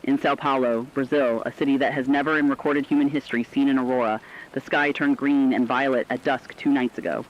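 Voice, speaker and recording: A male actor as a solemn radio correspondent speaks in a mid-pitched voice with a slightly dull, lo-fi sound. He tells scripted disaster stories in a personal, reflective way, as in a radio drama. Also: fairly even intonation, fairly quick, lightly acted. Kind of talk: scripted narrative radio storytelling.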